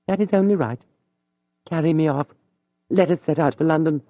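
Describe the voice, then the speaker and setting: A man's voice: a little high, moderate pace, dry recording, pitched above the performer's own voice, close to an everyday voice. A man reading an audiobook, voicing a proud, passionate young noblewoman who pleads in a heightened, imploring way. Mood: pleading. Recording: dull dry studio audio.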